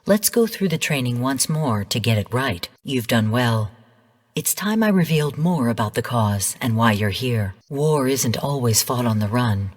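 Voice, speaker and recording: An actress playing a female artificial intelligence mentor in a video game, delivering mission briefings in a calm, stern, measured and formal way. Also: very deep voice, breathy edge, long reverb tail, exaggerated voice. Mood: measured, instructive. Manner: measured, formal, cool, precise. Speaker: game guide and mission narrator.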